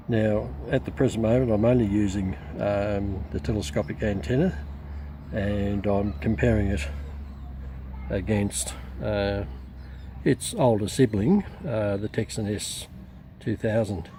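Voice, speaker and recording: An Australian man with a low, mellow voice talks through an unscripted product review. He rambles a little and pauses often as he looks for words. The recording is made outdoors and has clear background noise. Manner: hesitant, rambling delivery with frequent pauses. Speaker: radio reviewer. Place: outdoors in a backyard.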